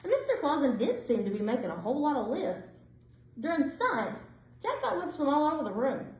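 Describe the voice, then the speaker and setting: A woman reading a children's storybook aloud in an expressive, deliberate way. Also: sits high. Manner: animated, deliberate phrasing. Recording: roomy indoor echo.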